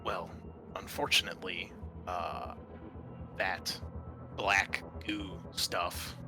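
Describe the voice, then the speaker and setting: A man's voice: low-pitched, fairly even intonation, drawn-out words, over music, full character voice. A man playing a calculating company woman scientist bargaining and explaining in a tabletop roleplaying game. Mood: grim explanation. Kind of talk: in-character tabletop roleplay dialogue.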